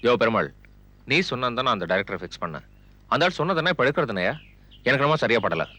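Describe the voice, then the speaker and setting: An actor playing a curt, doubtful, businesslike film producer in a TV serial. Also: male voice, medium pitch, very animated, fast, sharp tone, dry recording. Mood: doubtful, displeased.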